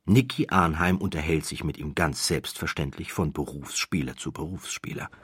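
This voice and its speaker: Male audiobook narrator: low, husky voice, expressive scripted storytelling. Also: normal speed, no echo, clean studio sound.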